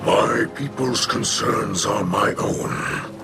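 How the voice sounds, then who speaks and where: A man playing a stern, honorable alien leader in a video game cutscene, speaking in a grave, formal and measured manner. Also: lowish voice. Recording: loud music over the voice.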